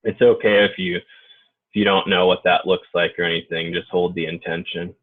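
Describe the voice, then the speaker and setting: A man leading an unscripted guided group meditation in an informal, halting way. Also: low voice, little pitch movement, husky. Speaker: guided meditation leader and healer. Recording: muffled phone-like narrow-band audio.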